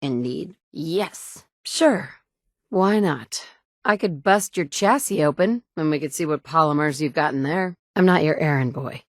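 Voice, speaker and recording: A terse wasteland survivor. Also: female voice, deep voice, lively intonation, measured pace, no room sound, clean studio sound. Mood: dry. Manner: terse and commanding. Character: resolute woman survivor, a mother searching a wasteland. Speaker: player character. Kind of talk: recorded video game voice lines.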